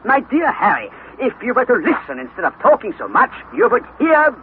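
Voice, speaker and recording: An actor playing an excitable, stubborn older professor uncle, pompous and exclamatory, in a 1960s radio adventure serial. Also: male voice, very high-pitched, fairly quick, heavily acted. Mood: indignant, resolute. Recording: tinny, hissy vintage radio broadcast.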